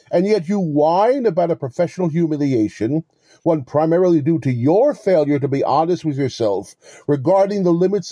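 A man acting in an audio play as a smug, pompous con artist, lecturing verbosely with mock courtesy in a husky voice. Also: a little high, dark tone, dry recording. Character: smug, verbose male con artist posing as police lieutenant.

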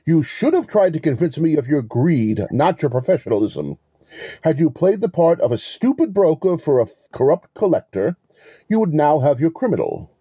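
An actor in a mystery audio play, voicing a wily relic con artist who talks in a measured, formal, condescending way. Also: male voice, on the high side, big pitch swings, warm tone, breathy edge, no room sound, heavily acted. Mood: smug, scornful.

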